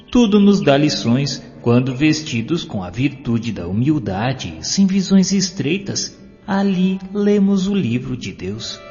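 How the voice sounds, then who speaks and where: A man's voice: medium pitch, a little mellow, lo-fi sound. Solemn man narrating in a long hall reverb. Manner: solemn, declamatory, with drawn-out phrasing. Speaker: audiobook narrator. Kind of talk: scripted devotional narration with poetry recitation. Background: clear music.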